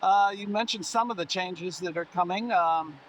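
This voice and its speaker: Guy interviewing outside, pretty high voice, lots of uhs and ums, faint traffic behind. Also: poor audio. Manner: halting, many uh and um fillers. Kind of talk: oral history interview.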